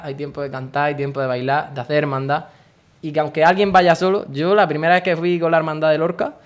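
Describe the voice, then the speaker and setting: A young man in his late teens, earnestly and devoutly describing his faith in a radio interview. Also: fairly high pitch. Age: young adult. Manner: earnest, devout, talks at length. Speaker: guest, brotherhood member.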